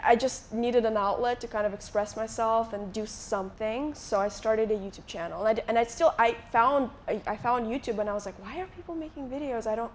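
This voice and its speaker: A woman's voice: a little high, fairly quick, few pauses, slight background noise. Chatty young woman from Moldova, live onstage. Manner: chatty, many likes and restarts. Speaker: guest YouTuber. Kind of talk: casual onstage interview. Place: conference stage before a live audience.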